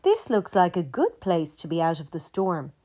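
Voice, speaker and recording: A woman voicing a kindly, protective male gander in a children's story, calm and courteous. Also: on the low side. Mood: calm, tender. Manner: measured, courteous.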